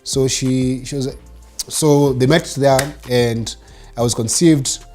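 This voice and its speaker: A man's voice: medium pitch, steady tone, slow, darkish tone, faint music behind. A man in his forties with a Kenyan accent and Swahili as his first language, telling his life story conversationally as an interview guest. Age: 40s.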